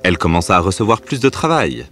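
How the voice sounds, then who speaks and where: Deep, lively male storyteller. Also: quick pace, faint music behind. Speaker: narrator. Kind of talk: scripted children's story narration.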